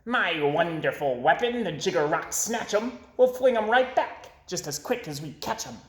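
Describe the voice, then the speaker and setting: A man's voice: high voice, animated, normal speed. A man voicing a mean, snarling male rival creature who taunts, in an echoey room. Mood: taunting.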